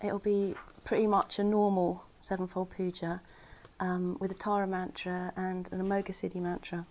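A woman's voice: fairly low pitch, flat delivery, normal speed, a little mellow, a little hiss or hum. A woman giving an informal Dharma talk to her Buddhist community. She speaks earnestly and conversationally, with self-deprecating humour. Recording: slightly muffled recording.

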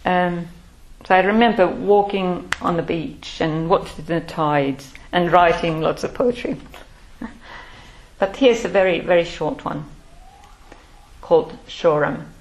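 A woman's voice: fairly low pitch, poor audio. Reflective older woman. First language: Finnish. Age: 60s. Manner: measured, thoughtful, pausing often. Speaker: Buddhist order member. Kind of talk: reflective personal life-story talk.

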